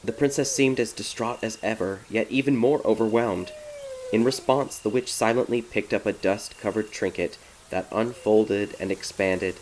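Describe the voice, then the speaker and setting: A man's voice: fairly low pitch, a little hiss or hum, low-quality recording. A man reading scripted fairy tale narration as an audiobook storyteller. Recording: prominent music behind the narration. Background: music.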